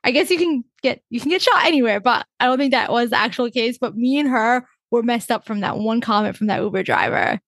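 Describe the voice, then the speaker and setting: A woman co-hosting a podcast, telling a travel story in a quick, chatty way. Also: fairly high pitch, fairly bright tone, no room sound. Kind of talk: casual podcast chat.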